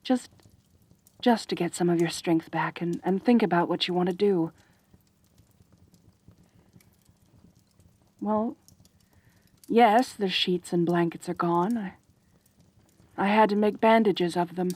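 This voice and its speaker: A woman's voice: lowish voice, unhurried, pauses often, a little mellow, husky, no room sound. A woman voicing a lonely, possessive but caring centaur girl in a roleplay audio drama, speaking in a hesitant, stammering, earnest way. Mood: soft, tentative. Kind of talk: roleplay ASMR audio drama, intimate one-sided dialogue.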